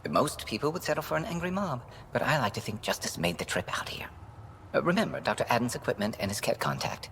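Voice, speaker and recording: A retired alien special-forces major for a video game. The voice is creaky and swings widely in pitch, with a dry, frank, shrewd manner and faint noise behind it. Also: male voice, big pitch swings, quick pace, fairly bright tone. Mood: grave, wry. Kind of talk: video game character dialogue.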